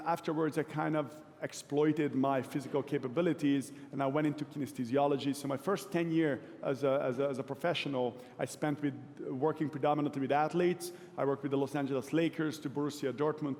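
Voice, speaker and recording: A man from Hungary in his forties, giving a relaxed, self-deprecating conference talk to a live audience. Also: on the high side. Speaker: keynote speaker. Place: large event hall. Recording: long hall reverb.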